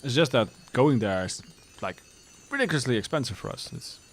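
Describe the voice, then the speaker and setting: A man in his thirties, streaming live and chatting casually with viewers in a relaxed, rambling way. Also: mid-range voice, brisk, slight background noise.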